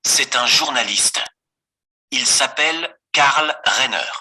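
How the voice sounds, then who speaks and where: A man voicing a scripted beginner dialogue for a French textbook, slow and clearly articulated. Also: medium pitch, bright, forceful tone. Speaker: voice on course audio.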